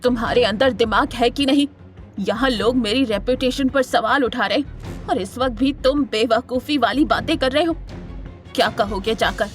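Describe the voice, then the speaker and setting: A woman's voice: on the high side, normal speed, faint music behind, natural voice. A woman playing an imperious, scheming elderly family matriarch with a commanding manner in an audio drama. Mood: furious scolding. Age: older. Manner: scolding, commanding. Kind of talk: Hindi audio drama acting.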